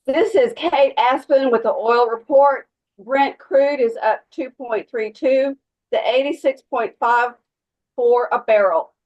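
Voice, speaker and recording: Woman playing higher-pitched radio news anchor. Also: slow pace, darkish tone, dull audio. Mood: matter-of-fact. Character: female radio news anchor reading oil market report. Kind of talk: news report read in a table read.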